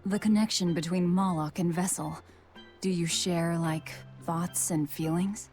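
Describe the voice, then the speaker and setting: A woman's voice: fairly low pitch, fairly even intonation, measured pace, darkish tone, faint music behind, close to an everyday voice. An actress playing a tough, guarded young woman in a video game, blunt and commanding with a dry manner. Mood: probing.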